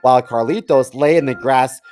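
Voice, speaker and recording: A man's voice: medium pitch, moderate pace, darkish tone. A man co-hosting a comedy podcast, casually bantering and reading a comic story aloud. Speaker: podcast co-host. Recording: music behind the voice.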